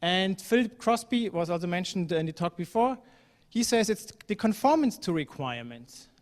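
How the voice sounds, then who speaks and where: A man from Germany gives a talk at a conference, his voice a touch higher than most men's. He speaks in a relaxed, conversational way, full of ums and restarts. His voice rings with the long reverb of a large hall. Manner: conversational, fillers, occasional non-native phrasing.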